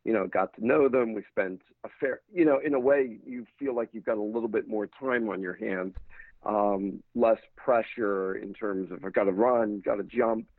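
A man's voice: lowish voice, moderate pace, dry recording. A man with an American accent, a talent manager, giving thoughtful answers in a casual interview with frequent restarts. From England. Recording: dry, tinny phone-like line.

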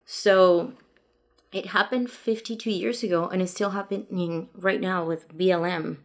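A woman's voice: lowish voice, steady tone, unhurried. A Mexican woman whose native language is Spanish, co-hosting a podcast in casual chat, talking at length and laughing often. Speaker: podcast co-host.

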